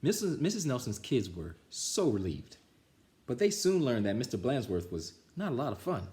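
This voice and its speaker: A man's voice: mid-range voice, very animated, moderate pace, darkish tone. A man reading a children's picture book aloud as a storytime reader, expressively, with small stumbles.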